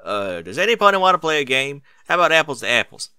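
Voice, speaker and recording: A man's voice: a little high, sing-song, quick pace, bright, forceful tone, clean tone, dry recording, pitched above the performer's own voice, full character voice. A man voicing a laconic, easygoing, slightly sheepish big-brother farm stallion in a storybook reading. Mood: flustered.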